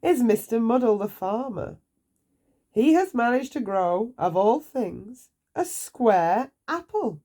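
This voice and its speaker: A woman's voice: fairly high pitch, animated, slow, mellow, voice pushed up. A woman voicing a pompous storybook king who makes grand, ceremonial public announcements. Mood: proudly announcing. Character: pompous ceremonial male king of a nonsense land. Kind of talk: storybook character voice.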